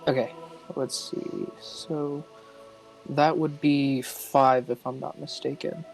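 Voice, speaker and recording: A man talks through his turn in a tabletop game at a slow pace. His voice is fairly high with a lot of fry, and he sounds careful and questioning. Faint music plays behind him. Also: level tone, low-quality recording. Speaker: player. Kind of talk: casual tabletop game talk. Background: soft music.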